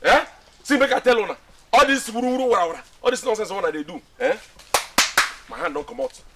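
A man's voice: very high voice, lively intonation, fast talker, background noise. A man with a Nigerian accent playing a defensive, scolding young man in a film, speaking emphatically and repeating himself. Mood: angry, scolding. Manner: repetitive, emphatic, argumentative. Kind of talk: Nigerian film acting in Pidgin dialogue.

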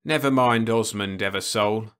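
A man's voice: medium pitch, moderate pace, sharp tone, pitched above the performer's own voice, natural voice. A man voicing a sly, burly uncle who probes with leading questions, in a storybook reading. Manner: sly, probing. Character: burly, sly, hairy middle-aged uncle.

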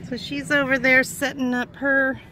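A middle-aged woman vlogging in casual chat from inside a truck, playful and quick to laugh. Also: sits high, flat delivery, sharp tone, slight background noise. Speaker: vlog host. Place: inside a parked pickup truck.

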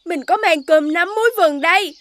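High-pitched earnest little boy. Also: moderate pace. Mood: playful, affectionate. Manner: childlike, earnest, expressive. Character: small boy, kind, pleading, devoted to a young deer. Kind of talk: animated folk tale dubbing. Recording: music under the voice.

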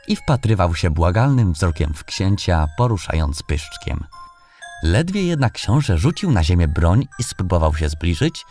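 Deep-voiced man narrating a fairy tale. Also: a little mellow. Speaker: audiobook narrator. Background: background music.

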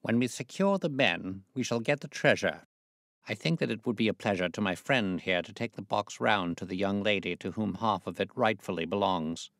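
Casting: man as assured gentleman detective for storybook reading, lowish creaky voice, articulate, authoritative, very dry sound. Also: moderate pace, no room sound. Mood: commanding. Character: self-assured, commanding male gentleman detective.

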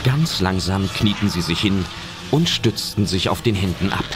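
A man's voice: deep voice, animated, moderate pace. A man reading scripted narration for an adventure audio drama. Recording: loud music under the voice. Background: loud film-style music and effects.

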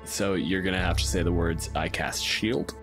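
A man's voice: low-pitched, moderate pace. A man running a tabletop game as game master, explaining in a conversational way. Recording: music behind. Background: clearly audible music.

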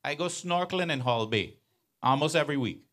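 Resident man, fairly high voice, earnest town hall question, handheld mic. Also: lively intonation, fairly quick, fairly bright tone. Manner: earnest, building to pointed emphasis. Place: large outdoor venue with audience.

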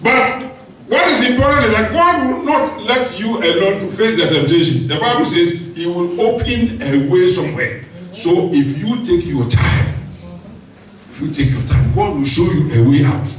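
A man's voice: high-pitched, animated, moderate pace, fairly bright tone. A middle-aged man preaching a sermon into a handheld microphone in a large church hall, emphatic, repeating key phrases and asking the congregation questions. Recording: very echoey church hall, mic at a distance.

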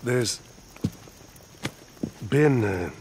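An actor playing an older, pious militia colonel in a video game, measured, formal and fatherly. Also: medium pitch, lively intonation, vocal fry, darkish tone, slight background noise, exaggerated voice. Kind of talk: video game cutscene acting. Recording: game cutscene with music behind.

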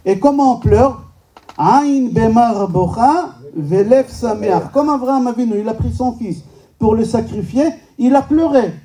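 Male rabbi, native Hebrew speaker, high-pitched voice, lecturing informally and emphatically, recorded at a distance in a hall. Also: a little slow, mellow. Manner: rhetorical questions, emphatic repetition, joking. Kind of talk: informal religious lecture to a live audience. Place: medium-sized indoor synagogue function room.

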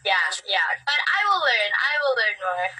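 A young woman from Canada hosting a live video chat, upbeat and quick, often trailing off and restarting her sentences. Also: medium pitch, very animated, pushed and bright, slightly rough. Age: young adult. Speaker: host of a live stream. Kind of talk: casual chat. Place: indoor room, live stream video call. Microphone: phone video call. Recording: distant stream audio, faint music.

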